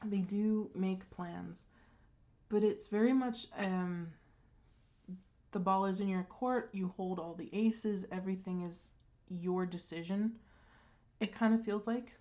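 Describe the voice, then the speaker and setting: A woman's voice: medium pitch, steady tone, warm tone, highs cut off. Congested woman giving a tarot reading. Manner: unhurried, with frequent ums and restarts. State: congested, clearing throat. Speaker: tarot reader. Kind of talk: casual card reading.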